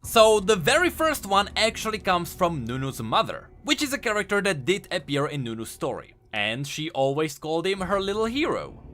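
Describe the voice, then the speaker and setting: A man's voice: high-pitched, big pitch swings, moderate pace, hardly any pauses, a bit bright. A man giving enthusiastic, emphatic scripted commentary as the host of a video game lore channel. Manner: emphatic, explanatory. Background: music.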